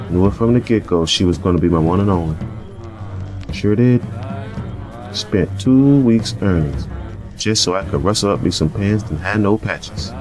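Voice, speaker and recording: An actor playing a humble, confused undead man, speaking a halting, folksy dialect. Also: low-pitched, close to an everyday voice.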